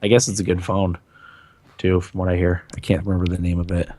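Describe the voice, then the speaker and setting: Deep voiced guy podcast host, raspy and hoarse like he lost his voice, rambling casual chat. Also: little pitch movement, fast, warm tone, slight background noise.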